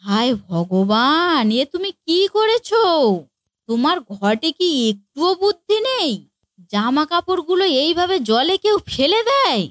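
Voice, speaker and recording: A woman's voice: very high-pitched, very animated, unhurried, a bit bright, dry recording, full character voice. A woman voicing an exasperated middle-aged mother-in-law in a comic cartoon, scolding and fed up.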